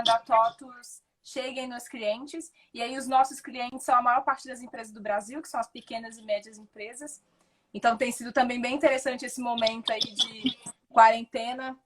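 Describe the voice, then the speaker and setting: A woman's voice: a little high, a bit bright. A woman from in Brazil, speaking, hosting a friendly, upbeat live chat over a video call. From Brazil, Minas Gerais. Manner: friendly, upbeat, introduces topics. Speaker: host, entrepreneur.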